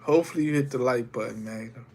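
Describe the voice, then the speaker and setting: A man's voice: medium pitch, quick pace, warm tone. A young man streaming live while getting over a cold, chatting loosely with viewers and greeting them by name. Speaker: livestreamer. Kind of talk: casual livestream chat with viewers.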